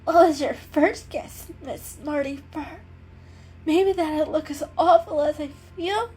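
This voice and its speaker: A woman's voice: high voice, a little slow, warm tone, voice pushed up. A woman voicing a sick, grumpy female kitten in a storybook reading, congested and whiny. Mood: irritable, snappish.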